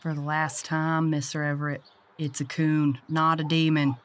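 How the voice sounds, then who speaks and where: An actress playing a gruff, sardonic working woman, blunt and bossy, in an audio drama. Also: sits low, little pitch movement, moderate pace, no room sound, natural voice. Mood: exasperated. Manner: blunt, dry, bossy, drawling slang. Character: gruff, sardonic Southern woman pest catcher, seasoned boss. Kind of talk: audio drama character acting.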